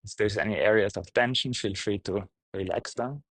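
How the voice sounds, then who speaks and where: A man guiding a meditation, speaking gently in short, halting phrases with pauses. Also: fairly low pitch, no room sound. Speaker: meditation teacher, guide. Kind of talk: guided meditation, unscripted instruction.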